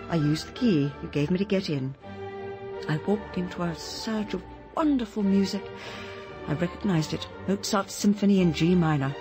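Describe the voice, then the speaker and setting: An actress playing a troubled, conscientious British village woman in a radio drama, speaking in an earnest, probing, hesitant manner. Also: fairly low pitch. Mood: hesitant confrontation. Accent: British English. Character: troubled, conscientious village woman gently confronting her friend.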